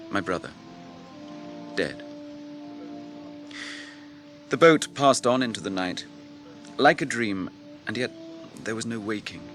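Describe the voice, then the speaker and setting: Casting: stern noble English captain, grave and probing, widely swinging declamatory delivery, faint music behind. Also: male voice, mid-range voice, a bit bright. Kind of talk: BBC radio drama acting.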